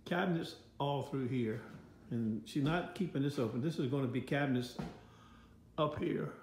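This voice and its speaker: A man's voice: medium pitch, moderate pace, darkish tone. Older american guy rambling on a phone vlog, plain folksy talk, roomy echo, bit of background noise. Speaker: vlogger. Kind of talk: casual rambling walk-through commentary. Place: inside a house under renovation, later outdoors. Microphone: handheld phone. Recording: echoey rooms, background noise, handheld.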